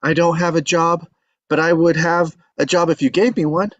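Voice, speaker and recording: A man's voice: on the high side, level tone, moderate pace, dry recording, lo-fi sound, close to an everyday voice. A man reading the part of an earnest, determined young man in love, pleading his case. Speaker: table read actor. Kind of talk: live table read acting.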